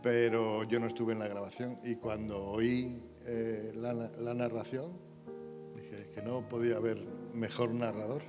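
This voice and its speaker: A man's voice: medium pitch, flat delivery, unhurried, music in the background. A man chatting informally as a guest, appreciative and repeating phrases for emphasis. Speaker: radio serial director and guest. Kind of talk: informal on-stage chat.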